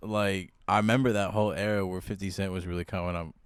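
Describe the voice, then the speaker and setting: A man's voice: sits low, little pitch movement, fast talker. A man in his twenties hosting a podcast, asking easygoing, slangy questions in casual chat. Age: 20s. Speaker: podcast host. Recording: faint background noise.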